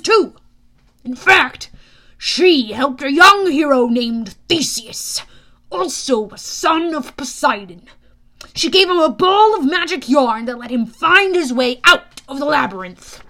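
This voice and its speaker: A woman voicing a haughty, bitter, menacing male wine god in an audiobook, with a sneering, deliberate, pompous delivery. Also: high-pitched, animated, bright, forceful tone, voice pushed up, full character voice. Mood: sardonic. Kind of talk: audiobook character voice.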